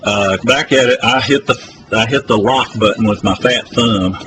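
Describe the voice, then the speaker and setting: A man's voice: fairly low pitch, normal speed. Rambling man with a noisy phone mic. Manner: rambling, hesitant with many fillers. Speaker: public access TV host. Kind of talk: rambling live phone-in broadcast. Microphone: phone camera.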